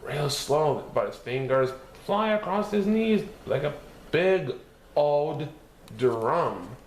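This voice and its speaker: A man reading a picture book aloud as a storyteller, expressive and sing-song, playing with repeated words. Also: on the high side, takes it slowly. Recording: roomy echo with faint background noise.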